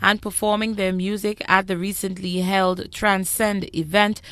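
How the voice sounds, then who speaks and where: A female news reporter reading a scripted voice-over in a measured, formal way. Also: mid-range voice, little pitch movement, fairly bright tone, no echo.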